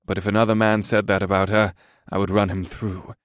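A proud elf warrior, read by a male narrator talks with deadly calm and no emotion. The voice is on the low side and recorded dry, in a fantasy storybook style. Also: a little fast, dry recording, natural voice. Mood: calm resolve.

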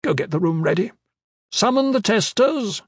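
A man voicing an imperious, sharp-tongued old woman magister who scolds everyone around her. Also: animated, normal speed, husky, dry recording, clean studio sound, heavily acted. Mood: commanding. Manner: scolding, shrill.

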